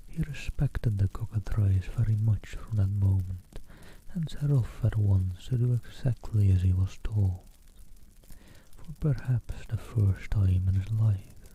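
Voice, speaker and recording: A man streaming an ASMR reading, soft, whispery and unhurried, greeting viewers between passages. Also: lowish voice. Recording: tinny phone-like line, faint hiss.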